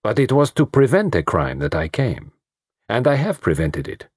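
A man voicing a fussy, commanding detective, pompous and precise, in a slightly husky voice. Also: mid-range voice, very animated, normal speed, no room sound, clean studio sound, pitched above the performer's own voice. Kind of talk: audiobook reading.

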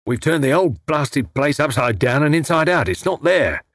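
Storybook thug, male reader in role: gruff henchman, hoarse, coarse, swinging pitch. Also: animated, normal speed, no room sound, clean studio sound. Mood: frustrated. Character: gruff, cursing ruffian henchman.

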